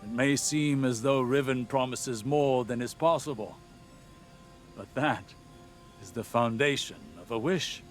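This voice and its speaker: An actor playing an earnest, scholarly older male warlock in a video game, speaking in a deliberate, philosophical way. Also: mid-range voice, faint music behind, lightly acted. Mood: earnest, reflective. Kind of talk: dramatic video game dialogue.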